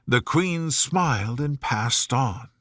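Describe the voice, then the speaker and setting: A man's voice: medium pitch, animated, slow, a bit bright, dry recording, clean studio sound. A man reading a children's story as a soothing, scripted bedtime narration.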